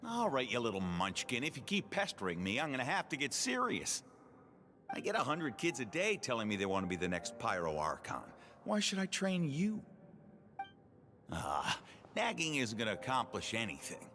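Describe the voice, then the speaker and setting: A man voicing a blustering, teasing but kind adult mentor in a video game, very expressive. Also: medium pitch, normal speed, a little hiss or hum. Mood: teasing, dismissive.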